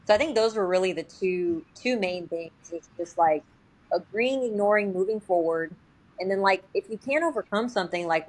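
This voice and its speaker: A woman guesting on a podcast, talking informally with lots of "like" and quick quoted examples. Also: lowish voice, low-quality recording. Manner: informal, heavy use of "like", acts out short quotes. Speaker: sales agent, podcast guest. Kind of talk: casual podcast interview.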